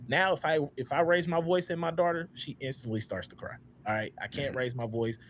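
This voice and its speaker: A man in his thirties hosting a casual panel talk, speaking in a reflective, unhurried way with many "uh"s and a loud voice. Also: on the high side, slight background noise. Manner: relaxed, reflective, frequent "uh" and "all right?". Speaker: podcast host.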